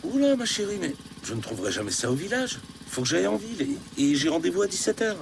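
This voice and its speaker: A man voicing a kindly, busy father in a cartoon, expressive and affectionate. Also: high-pitched, fast, vocal fry, background noise, heavily acted. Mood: hurried. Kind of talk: French animated series dubbing.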